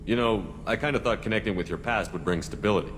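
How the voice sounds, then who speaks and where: Guy doing calm direct army commander for a game, low voice, echoey with noise behind. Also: fast, natural voice. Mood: calm, interested. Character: steady, probing male human military commander. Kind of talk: video game character acting.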